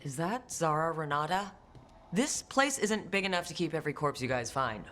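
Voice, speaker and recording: A woman's voice: deep voice, animated, normal speed, background noise. A woman playing a blunt, wry adventurer in a video game, with music under the voice.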